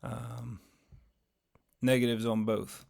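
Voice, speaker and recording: A man hosting a casual market recap, talking through trades in a rambling, conversational way with lots of fillers while his voice grows hoarse. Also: medium pitch, flat delivery, slow pace, a little mellow. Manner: conversational, rambling, many fillers and self-corrections. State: voice getting hoarse, losing his voice. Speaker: finance channel host.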